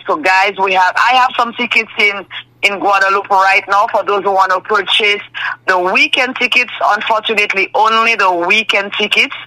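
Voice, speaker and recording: A woman from Dominica, a booking agent talking in a lively radio interview and laughing often. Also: medium pitch, rough recording. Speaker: booking agent and consultant, guest. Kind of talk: radio interview, promoting tickets.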